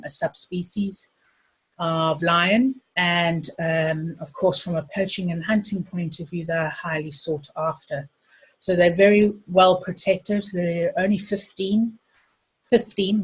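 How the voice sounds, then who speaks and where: A woman's voice: fairly low pitch, a bit bright, husky, poor audio. A middle-aged woman with a South African accent, presenting a webinar in a casual, hesitant way with frequent ums. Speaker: webinar host.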